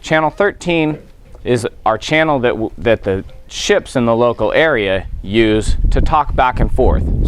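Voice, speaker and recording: A man speaking as a Coast Guard officer, explaining safety procedures to boaters in a plain-spoken, official manner. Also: mid-range voice. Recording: clear background noise, ambient sound.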